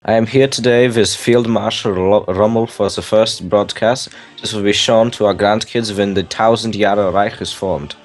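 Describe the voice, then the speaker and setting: Man playing a low-voiced loyal German radio interviewer. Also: steady tone, normal speed, lightly acted. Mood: formal, deferential. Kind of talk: staged 1940s radio interview.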